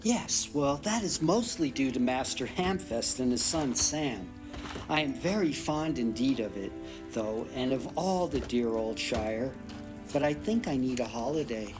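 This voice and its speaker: An actor playing a genial, resolute elderly gentleman hobbit in an audio drama, with a slightly fussy manner. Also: mid-range voice, expressive, measured pace, natural voice. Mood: fond, resolute. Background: music.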